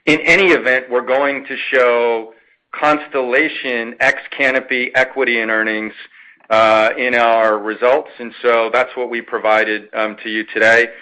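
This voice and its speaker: Exec guy on an earnings call, flat pitch, bright firm voice, halting and measured, lots of pauses. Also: low-quality recording. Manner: measured, hesitant with frequent pauses and repeats. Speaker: company finance executive on an earnings call. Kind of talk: answering an analyst's question, semi-formal corporate talk.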